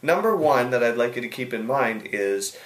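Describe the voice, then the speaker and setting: A man's voice: mid-range voice, animated, moderate pace. Chatty man in an echoey room. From United States. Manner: rambling, chatty, with restarts and asides. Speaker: host answering viewer questions. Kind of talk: casual video advice.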